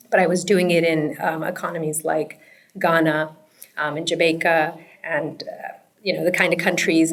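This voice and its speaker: A woman, answering interview questions in an earnest, reflective, conversational way. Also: low-pitched. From Pakistan and the United States. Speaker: interview guest, startup founder.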